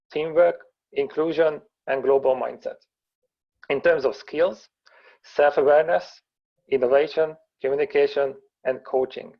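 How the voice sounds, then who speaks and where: Man presenting over a muffled call. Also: fairly high pitch. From Hungary. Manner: steady, explanatory storytelling. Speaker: guest presenter. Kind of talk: webinar presentation. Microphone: video call. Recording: dull, muffled webinar audio.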